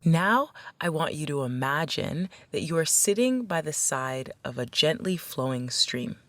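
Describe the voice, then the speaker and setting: A woman leading a guided meditation as a facilitator, speaking in a gentle, measured, instructional way. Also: low voice, expressive, no room sound.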